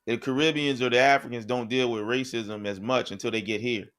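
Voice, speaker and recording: A man's voice: medium pitch, fairly even intonation, fairly quick, fairly bright tone. A man co-hosting a podcast, talking in a casual, conversational way and often checking in with "right?". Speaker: podcast co-host. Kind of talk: casual discussion.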